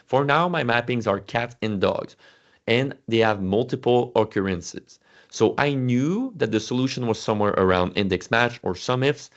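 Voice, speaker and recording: A man's voice: mid-range voice, lively intonation, normal speed, lo-fi sound. A man whose first language is French, walking through a spreadsheet demo in a casual, self-correcting way with lots of fillers and restarts. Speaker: Excel instructor presenting. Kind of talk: casual screen-share walkthrough.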